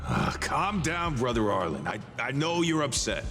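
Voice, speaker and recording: A man's voice: mid-range voice, very animated, normal speed, pushed and bright, faint music behind. A man voicing a nervous, hesitant young man in a video game, stammering and flustered. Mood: flustered, pleading.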